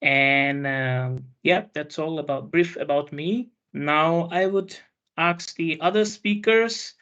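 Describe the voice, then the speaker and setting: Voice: medium pitch, takes it slowly, a bit bright, clean tone, dull audio. A student hosting an online panel, talking hesitantly with many fillers and restarts. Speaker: panel host and student speaker.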